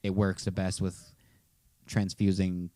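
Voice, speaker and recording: A man's voice: low-pitched, flat delivery, measured pace, mellow, no room sound. A man co-hosting a podcast, talking casually and informally in easygoing fan banter. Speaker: podcast co-host.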